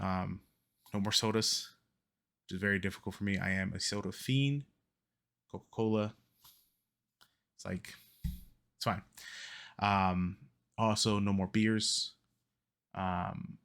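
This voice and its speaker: A man in his early thirties talking casually to camera as a vlogger, rambling with many ums and quick self-corrections. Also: fairly low pitch, gravelly, fairly bright tone. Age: 30s. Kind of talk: casual fitness vlog talk.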